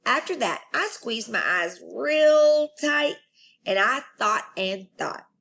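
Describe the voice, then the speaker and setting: A woman storyteller reading a children's book aloud in an expressive style. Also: on the high side, slow pace, gravelly.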